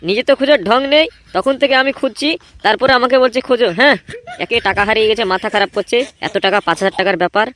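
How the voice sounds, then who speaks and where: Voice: medium pitch, rapid-fire, a bit bright, slight background noise, natural voice. A performer voicing an irritable, blustering and easily flustered male gang boss in a comedy cartoon. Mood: exasperated. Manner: blustering, complaining.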